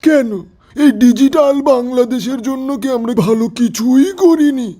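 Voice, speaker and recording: A man puts on a shameless older Bangladeshi politician with a strikingly high yet soft, mellow voice. He is evasive and stubbornly defensive, sounding pleased with himself throughout a satirical phone-call sketch. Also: moderate pace. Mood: defiant.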